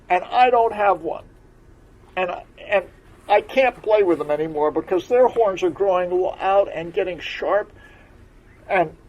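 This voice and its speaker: Give me a small, sorrowful young unicorn from a bedtime story, piping well above a grown man's voice and swinging through a wide, lively range, as a man puts him on. He is timid and gentle, and he speaks loud and bright like a child. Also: high voice, dull audio, pitched above the performer's own voice.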